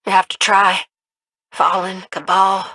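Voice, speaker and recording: A plucky, warm-hearted young woman pilot from a video game. She talks in folksy slang at a middle pitch. The sound is crisp-edged, bright and very dry. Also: level tone, unhurried, dry recording. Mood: earnest. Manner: folksy, plain-spoken, drawling slang. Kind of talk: dramatic video game dialogue.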